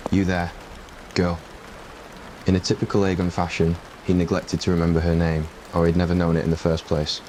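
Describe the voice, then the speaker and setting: Very low, even-toned male story narrator. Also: fast, few pauses. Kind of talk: scripted story narration, ASMR roleplay. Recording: clear rain noise behind voice. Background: rain ambience.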